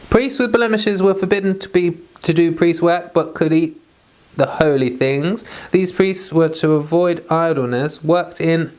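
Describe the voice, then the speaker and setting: A British man with a fairly high-pitched voice reads and teaches scripture. His delivery is informal and rambling, and he tends to interrupt himself as he explains. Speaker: Bible reader and teacher. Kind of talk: read-aloud scripture and informal commentary.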